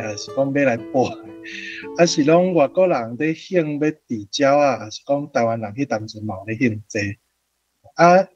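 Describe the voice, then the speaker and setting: A Taiwanese man puts conversational questions to a guest. His voice is husky and mid-pitched, recorded dry and a touch dull with faint music behind. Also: dry recording. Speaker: reading group member questioning the author.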